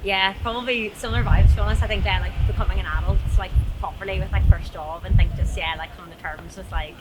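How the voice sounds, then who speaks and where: A woman's voice: mid-range voice, fairly even intonation, quick pace. A woman in her twenties chatting casually as an interviewee in a street interview. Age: 20s. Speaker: interviewee, junior doctor. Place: outdoors in a city street. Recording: distant, loud traffic noise.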